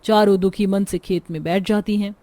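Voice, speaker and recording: A woman's voice: medium pitch, fairly even intonation, normal speed, mellow, no echo. A woman narrating a scripted cartoon story as the storyteller.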